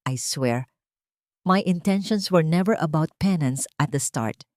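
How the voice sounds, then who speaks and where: A woman's voice: sits low, lively intonation, unhurried, a little mellow, dry recording, clean studio sound. A woman with a Filipino accent voicing a weary, remorseful man who pleads his love earnestly in an audio story. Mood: pleading, regretful. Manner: pleading, switches between Tagalog and English.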